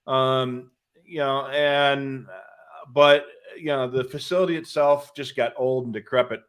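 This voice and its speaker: A man's voice: mid-range voice, fairly even intonation, unhurried, fairly bright tone, clean tone. A man co-hosting a casual live hobby stream, conversational and opinionated, explaining things with little asides. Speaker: co-host of a live hobby show about police scanners.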